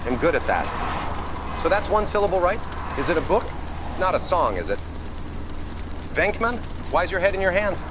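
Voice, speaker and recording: Clear vehicle noise sits behind a man acting a guileless, boyishly keen ghost hunter in a motion comic. His fairly high voice moves up and down a lot. He sounds earnest and eager. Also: very animated, moderate pace, long pauses. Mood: eager, puzzled. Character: earnest, eager, naive male ghost hunter, childlike enthusiast. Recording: clear background noise and ambience.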